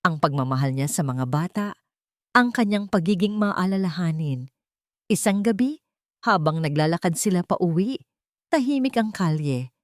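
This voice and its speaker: A woman's voice: lowish voice, lively intonation, normal speed, no room sound, studio quality. A woman reading a scripted dramatic love story as an audiobook narrator.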